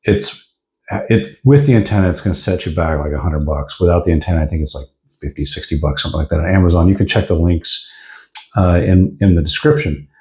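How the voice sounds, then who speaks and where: A man's voice: deep voice, fairly quick, a little mellow. A man giving a casual hands-on product review in an informal, explanatory way.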